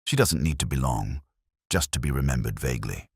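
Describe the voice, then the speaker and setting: Very deep, calm police inspector acted by a man. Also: fairly quick, breathy edge, dry recording, clean studio sound, heavily acted. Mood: calm, musing. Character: calm, courteous, thoughtful male police inspector.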